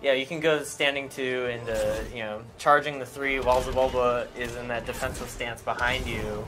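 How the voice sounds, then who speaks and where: A man's voice: mid-range voice, steady tone, moderate pace, music in the background. A man giving informal, unscripted game commentary, explaining things in a conversational way.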